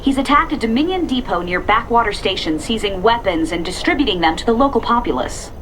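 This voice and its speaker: Upbeat field reporter for a game: American, earnest, brisk, expressive newscast delivery. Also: female voice, a little high, slight background noise, rough recording. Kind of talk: on-location news report.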